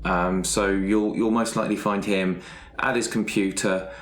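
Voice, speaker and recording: A man improvising a character description for a roleplay game, speaking in a halting, wry way. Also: fairly low pitch, steady tone. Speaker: player in a roleplay podcast. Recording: roomy, echoey sound with faint noise.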